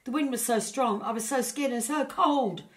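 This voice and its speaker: A woman voicing a small, excitable hedgehog in a storybook, speaking in emphatic exclamations. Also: high-pitched, normal speed, pitched above the performer's own voice, lightly acted. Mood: amazed, shaken. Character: small excitable hedgehog, loyal friend of a dragon.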